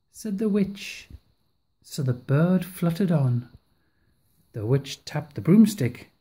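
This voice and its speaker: A woman reading a picture book aloud at home in an expressive, dramatic way, recorded on a phone held over the book. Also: on the low side, a little hiss or hum. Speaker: storybook reader.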